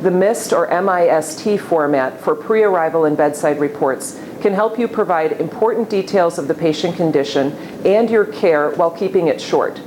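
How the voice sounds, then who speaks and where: A woman's voice: fairly low pitch, normal speed, hardly any pauses. A woman on a hospital's staff giving a scripted instructional explanation. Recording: clearly noisy, ambient background sound.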